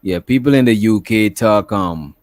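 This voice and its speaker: Man, stream host, relaxed slangy talk, lowish voice, dry sound. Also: normal speed, dry recording. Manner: laid-back, slangy, asks questions. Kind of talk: casual chat.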